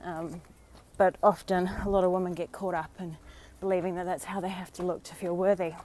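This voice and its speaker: An Australian woman vlogging live on a walk outdoors, slightly hoarse from a sore throat, talking in a chatty, encouraging way with many fillers. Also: fairly low pitch, little pitch movement, a little fast, a little mellow, a little hiss or hum. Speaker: vlogger and dating coach. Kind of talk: casual live-stream talk.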